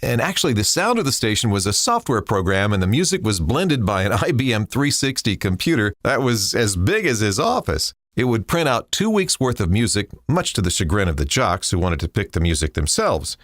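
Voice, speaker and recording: A male radio host with a fairly low voice presents in DJ style. His intonation swings widely and expressively. The sound is dry, like a studio. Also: sing-song, brisk, few pauses, fairly bright tone, no room sound.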